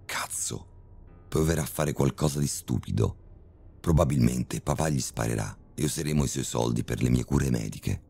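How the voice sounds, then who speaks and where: A man playing a paralysed, frightened young son who speaks weakly and quietly in a horror story reading. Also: a bass voice, normal speed, gravelly, breathy edge, exaggerated voice. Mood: fearful. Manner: weak, quiet and faint.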